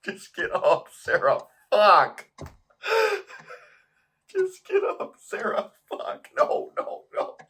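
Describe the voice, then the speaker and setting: A male actor plays a worn-out, embittered man in an audio drama. He is volatile and intensely emotional, and his pitch swings widely from phrase to phrase. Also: wildly swooping pitch, very slow, bright, forceful tone, heavily acted. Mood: anguished, frantic. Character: exhausted, bitter, volatile sleepless man.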